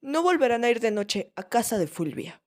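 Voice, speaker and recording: A woman's voice: fairly high pitch, very animated, moderate pace. A woman playing a strict, commanding governess in a radio drama, with a stern, bossy manner.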